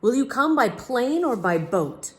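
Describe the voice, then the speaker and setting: Excitable worried male king for a picture book, performed by a woman, animated pitch, earnest and exclaiming. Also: a little slow. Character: anxious, excitable male king who lost his elephant.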